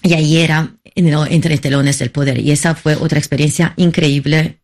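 A woman from Italy, a filmmaker who is not a Spanish speaker, answering interview questions in a halting way, often searching for words and restarting. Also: low voice, steady tone, darkish tone. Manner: halting, searching for words, many repeated starts. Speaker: documentary filmmaker, interview guest.

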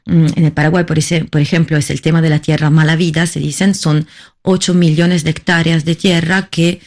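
A woman from Italy, a filmmaker who is not a Spanish speaker, answering interview questions in a halting way, often searching for words and restarting. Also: deep voice, little pitch movement, darkish tone. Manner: halting, searching for words, many repeated starts. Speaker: documentary filmmaker, interview guest.

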